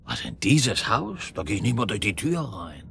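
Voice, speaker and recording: An eerie island fishmonger for a radio play. The voice is very deep and gravelly, with big swings in pitch. He talks in an ominous, insistent way and repeats words for weight, over faint background noise. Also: huge pitch swings, moderate pace, fairly bright tone, exaggerated voice. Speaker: actor in a radio drama. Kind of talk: German radio drama acting.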